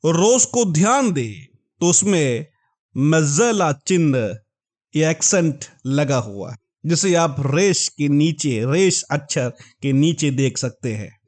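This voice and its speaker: A man's voice: fairly high pitch, darkish tone. A man teaching a Bible lesson, carefully spelling out words and earnestly addressing his listeners as his dear ones. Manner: slow, deliberate spelling-out of words.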